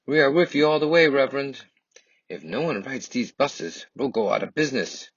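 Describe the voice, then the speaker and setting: Man acting an agitated bystander, raised husky voice, urgent, worried, storybook character, muffled recording. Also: mid-range voice, moderate pace, no room sound, distant mic, pitched above the performer's own voice. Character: agitated bus company supporter, pleading and worried. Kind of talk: children's storybook read-aloud.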